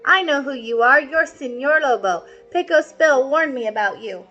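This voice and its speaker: A woman's voice: sits high, normal speed, pushed and bright, muffled recording. A woman voicing a plucky, bold little cowgirl with a feisty, folksy way of talking in a storybook. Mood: defiant.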